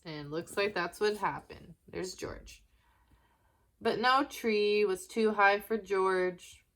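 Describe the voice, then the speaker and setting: Slow-paced woman reading a children's storybook. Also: mid-range voice. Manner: expressive, asking the listener questions. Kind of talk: read-aloud storybook narration with asides to young listeners.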